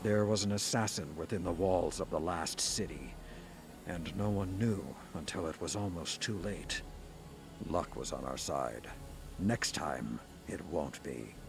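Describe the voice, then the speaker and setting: An actor playing a grim, vengeful old warrior lord in a video game, grave and portentous, with music behind. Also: male voice, sits low, unhurried, creaky. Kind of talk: dramatic video game monologue.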